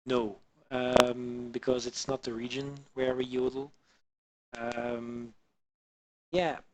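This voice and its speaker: Man from Belgium, slow talker, halting, lots of ums, headset mic, casual stream chat. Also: mid-range voice, little pitch movement, slight background noise, low-quality recording. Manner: halting, many fillers, answers chat viewers. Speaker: live streamer doing 3D modelling. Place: home in the Alpine region.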